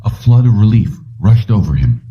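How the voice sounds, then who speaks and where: A man's voice: on the low side, sing-song, normal speed. A man reading a short story as scripted audiobook narration. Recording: dull lo-fi, distant from mic.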